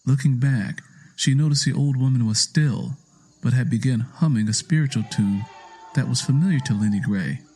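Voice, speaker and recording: A man's voice: medium pitch, normal speed, darkish tone, slightly rough, low-quality recording. A man narrating a scripted audio drama in a storytelling style.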